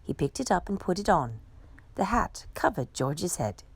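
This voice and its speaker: A woman reading a children's picture book aloud with expressive, dramatic storytelling. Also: fairly low pitch, moderate pace, slightly rough.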